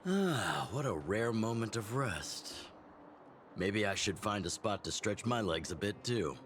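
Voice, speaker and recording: A man voicing a genial middle-aged town chief and mentor in a game, relaxed and teasing in a fatherly way. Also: lowish voice, unhurried, slight background noise. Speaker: community chief.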